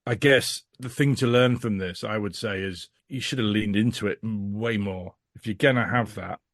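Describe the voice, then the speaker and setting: A man's voice: fairly low pitch, moderate pace, dry recording, studio quality. A man giving a casual podcast review as a guest, speaking in a rambling, emphatic, frank way.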